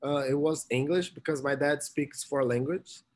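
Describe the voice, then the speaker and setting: A young man from Brazil with a Brazilian Portuguese accent, speaking halting English as an interview guest. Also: a little high, darkish tone. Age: young adult. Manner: halting, searching for words. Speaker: interviewee, college swimmer. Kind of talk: casual interview talk.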